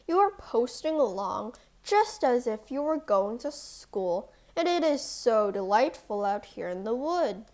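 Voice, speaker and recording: A woman voicing a sly, hungry male storybook wolf who coaxes and schemes in a deliberate way. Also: on the high side, very animated, darkish tone. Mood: coaxing.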